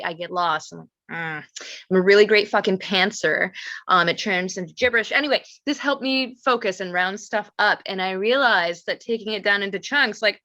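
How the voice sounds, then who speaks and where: A woman's voice: mid-range voice, pushed and bright, no room sound. A woman guest talking informally and candidly in a casual conversation. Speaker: writing course graduate, guest. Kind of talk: casual chat.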